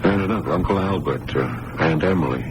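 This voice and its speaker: A man playing a calm, careful physician in a 1980s radio drama, speaking in a measured, hesitant way. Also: sits low, close to an everyday voice. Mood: measured, concerned. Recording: noisy old radio broadcast recording.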